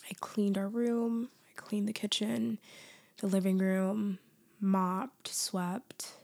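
A young woman in a soft, often whispered ASMR girlfriend roleplay, drowsy and close to the mic, her voice worn down as she loses it to a cold. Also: medium pitch, flat delivery, takes it slowly, creaky. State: losing her voice, congested.

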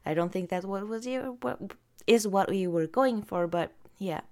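A woman from Norway with a Norwegian accent, whose first language is Norwegian, casually reading out viewer comments and laughing softly between them. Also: mid-range voice. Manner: slow, giggly, soft-spoken. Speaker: vlogger.

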